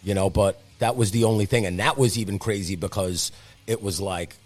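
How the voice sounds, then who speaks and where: A man's voice: on the low side, fairly quick, a little mellow. A man raised speaking Italian, chatting casually in a loose, profane, rambling way. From Brazil. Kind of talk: casual chat.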